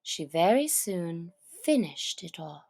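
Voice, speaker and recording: A woman narrating a children's story from a script, with expressive, deliberate and dramatic phrasing. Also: on the low side, vocal fry, dry recording, studio quality.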